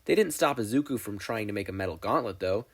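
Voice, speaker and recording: Guy reading fanfic out loud, kinda husky, super animated and wry storytelling. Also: on the low side, quick pace. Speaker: podfic reader. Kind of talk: expressive scripted fan fiction narration.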